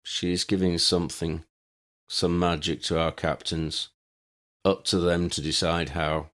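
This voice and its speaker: A man voicing a drugged, half-asleep male conspirator who answers in clipped monotone phrases. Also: low-pitched, a little slow. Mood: dazed.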